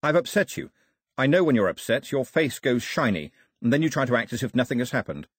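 A man voicing a serious, proud young island chief with a measured, blunt way of speaking. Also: mid-range voice, sing-song, breathy edge, no room sound, clean studio sound. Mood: apologetic.

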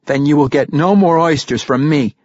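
A sarcastic, stubborn young boy thief from a fantasy storybook, voiced by a man, pitched up from the reader's own voice. He answers tersely and grimly, in a dry, slightly dull recording. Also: normal speed, a little mellow, no room sound, natural voice. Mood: grim, defiant. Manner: grim, terse, defiant replies. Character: defiant, sarcastic, quick-witted young boy thief with cherubic face.

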